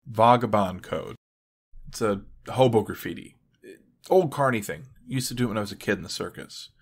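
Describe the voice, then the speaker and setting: A weary superhero archer played by a male actor speaks in a low register. His delivery is wry, understated and deadpan, suited to a motion comic. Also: normal speed. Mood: explaining, dry. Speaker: voice actor narrating and playing lead.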